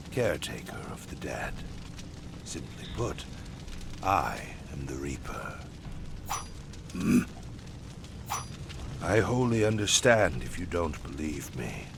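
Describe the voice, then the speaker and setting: An actor voicing an ancient male Grim Reaper spirit, speaking slowly and solemnly in a game cutscene. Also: animated, background noise, heavily acted. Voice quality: deep gravelly voice. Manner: slow, solemn, hushed. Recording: music playing behind.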